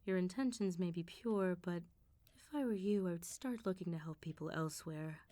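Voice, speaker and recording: A woman voicing a suave, menacing female vampire mob boss in an ASMR roleplay, politely teasing and threatening with unhurried control. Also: fairly low pitch, dark tone, lightly acted. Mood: probing, controlled.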